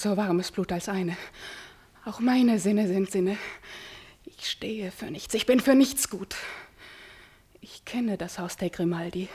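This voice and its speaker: A husky, passionate young daughter. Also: female voice, mid-range voice. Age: young adult. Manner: intense, declamatory, swinging from hushed whispers to outbursts. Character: proud, passionate young daughter in anguish, defiant and desperate. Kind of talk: classical German stage tragedy as radio drama.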